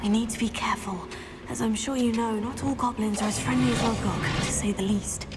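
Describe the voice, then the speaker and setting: A woman's voice: on the high side, fairly even intonation, fairly quick, in a large hall, over loud music, natural voice. A woman voicing a cautious, determined teenage student witch in a video game, practical and wary. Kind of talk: video game character dialogue.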